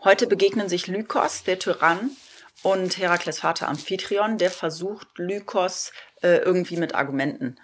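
A woman with a slightly low voice gives casual direction to actors on a call from home. She feels her way through each explanation, pausing to find the words. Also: background noise. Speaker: theatre director. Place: home, online video call.

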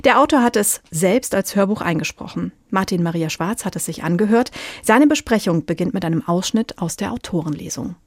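A woman presenting a radio arts program, introducing the next review in a clear, scripted way. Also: mid-range voice, animated, fairly quick, no room sound, studio quality.

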